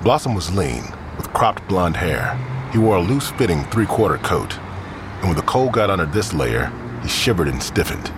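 A man giving slow, atmospheric third-person narration for a crime drama, with outdoor traffic noise behind. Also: low voice, big pitch swings.

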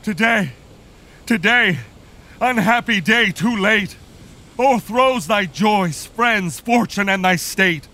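A man's voice: high voice, takes it slowly, bright, forceful tone, heavily acted. A man playing a mournful noble messenger. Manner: mournful, lamenting. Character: grieving nobleman bearing bad news. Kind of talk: Shakespearean stage acting.

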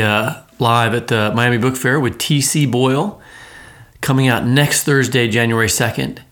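A man's voice: mid-range voice, a bit bright. A man speaking casually as the host of a podcast.

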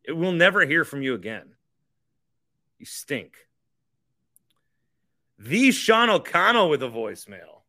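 Blunt guy doing casual sports podcast talk, bright punchy voice, dry sound. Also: fairly high pitch, very animated, takes it slowly, long pauses, no room sound. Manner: opinionated, blunt. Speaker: podcast host.

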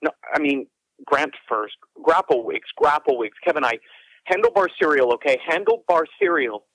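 A man's voice: fairly high pitch, animated, moderate pace, a bit bright, dry recording, low-quality recording. An actor playing an anxious, tender man who leaves a hesitant, rambling voicemail for his partner over the telephone. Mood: confused, alarmed. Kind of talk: audio drama voicemail monologue.

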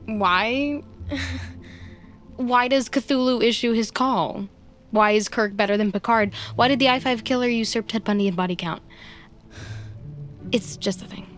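A woman's voice: fairly high pitch, measured pace, pauses often, soft background music, close to an everyday voice. A woman playing an awkward, sardonic, self-deprecating human sidekick in an audio drama, rambling and easily flustered. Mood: self-deprecating, wry. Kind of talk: naturalistic audio drama acting.

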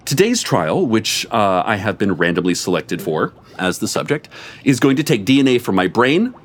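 A man reading a comic story aloud before a live audience, with expressive, animated storytelling and a deadpan edge. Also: fairly low pitch, normal speed, slight background noise. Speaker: story reader.

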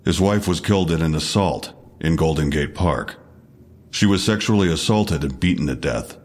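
An unflappable male detective, with an extremely deep voice. He speaks in a measured, official way, probing steadily as in an audio drama interrogation. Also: a little mellow.

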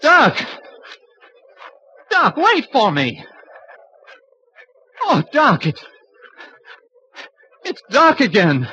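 Husky, timid small-town follower. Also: male voice, very high-pitched, huge pitch swings, extremely slow, long pauses, sharp tone, heavily acted. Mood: frightened. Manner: nervous, hesitant, folksy. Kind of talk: old-time radio horror acting. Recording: dull vintage radio recording.

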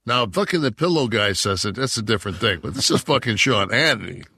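A man's voice: fairly low pitch, expressive, fairly quick, bright, forceful tone, slightly rough, no room sound, studio quality. A man in his sixties from Detroit, co-hosting a podcast and talking in a heated, profane, rambling way. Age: 60s. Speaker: podcast co-host. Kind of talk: casual chat.

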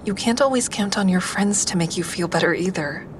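A low female voice from an actress plays a crisis line counselor in an audio drama. She is measured and candid, consoling without sugarcoating. A clear rumbling noise sits behind her. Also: natural voice. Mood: sympathetic, frank. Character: woman crisis hotline counselor, calm, candid, dog owner. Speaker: crisis line counselor and inner-monologue narrator. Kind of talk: audio drama acting with first-person asides.

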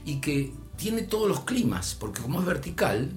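A man's voice: a little high, normal speed. Older guy w argentine accent spinning a story, voice goes up n down, faint music behind. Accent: Argentine Spanish. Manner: animated, voice rising and dropping with the story. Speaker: storyteller guest. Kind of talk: casual anecdote.

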